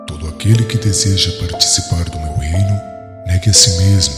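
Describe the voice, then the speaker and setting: A man voicing Jesus as a solemn, slow master in a dramatized audiobook. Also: deep voice, pitched below the performer's own voice, lightly acted. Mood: solemn, tender. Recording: long cavernous reverb, music and crowd behind.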